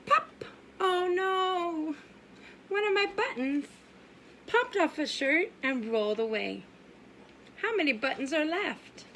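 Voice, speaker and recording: A woman preschool teacher reading a story aloud for young children in a sing-song, exaggerated way, asking questions and pausing. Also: high voice, creaky, bright, forceful tone, slight background noise. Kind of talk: animated children's story time read-aloud.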